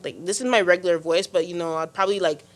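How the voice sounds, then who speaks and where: A teenage girl with a deep voice, hosting a youth podcast in casual talk, full of fillers and restarts. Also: steady tone, fast. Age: teens. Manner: chatty, many fillers and restarts. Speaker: podcast host. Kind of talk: casual discussion.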